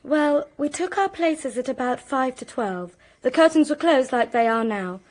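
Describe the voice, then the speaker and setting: An actress playing an anxious, articulate young British woman in a radio drama, with a precise, expressive delivery. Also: sits high, moderate pace. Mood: tense recounting. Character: anxious, articulate young niece recounting a crime.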